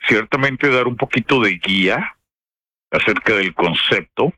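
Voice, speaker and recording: Bright-voiced northern Mexican man telling stories. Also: fairly low pitch, a little slow, dry recording. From Piedras Negras, Mexico. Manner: colloquial, expressive storyteller with slang. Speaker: radio broadcaster, interview guest. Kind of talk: casual anecdotal interview.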